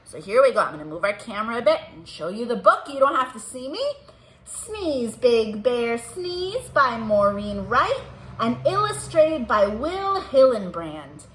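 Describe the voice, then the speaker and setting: Very lively high-pitched woman reading to children. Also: a little slow, slight background noise. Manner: sing-song, addresses children with simple questions. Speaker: children's librarian hosting musical storytime. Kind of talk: animated read-aloud for young children. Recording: roomy echo.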